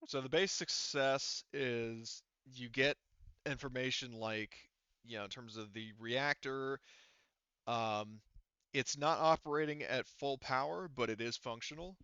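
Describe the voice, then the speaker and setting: A man with a smooth, clear voice runs a tabletop roleplaying game. He talks casually and thinks aloud as he improvises. The sound is close and dry, though a little dull. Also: mid-range voice, unhurried, pushed and bright, no room sound. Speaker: game master.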